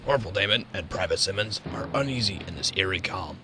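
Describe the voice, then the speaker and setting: Low-voiced man narrating, noisy recording. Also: lively intonation, fast talker. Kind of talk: scripted radio drama narration.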